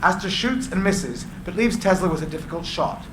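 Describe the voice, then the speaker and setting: Male narrator for screenplay stage directions, fairly high register, live in a reverberant, noisy room. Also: lively intonation, a little fast. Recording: echoey room with clear background noise.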